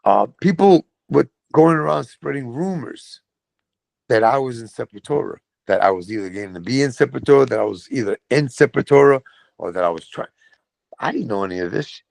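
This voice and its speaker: Gravelly Brooklyn man telling stories. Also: medium pitch, brisk, dry recording. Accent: New York. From Brooklyn, New York. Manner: profane, animated storytelling with voiced quotes. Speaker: guest, metal vocalist. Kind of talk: casual storytelling.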